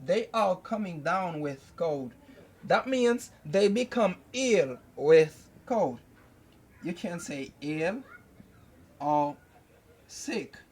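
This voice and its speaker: A young man teaching an English lesson at a whiteboard, slowly repeating each phrase several times. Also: high voice, animated, darkish tone, husky. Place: indoors at a whiteboard. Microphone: camera close by.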